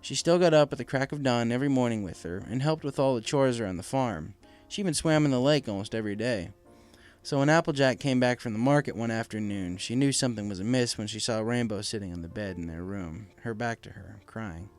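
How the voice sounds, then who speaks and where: Casting: male audiobook narrator, mid-pitched voice, scripted fan fiction reading with music underneath. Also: brisk.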